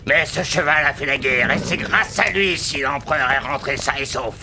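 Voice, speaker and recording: A man playing a patient, reasonable farrier in a cartoon, measured and placating. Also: medium pitch, vocal fry, background noise, lightly acted. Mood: patient, apologetic. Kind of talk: animated cartoon dialogue.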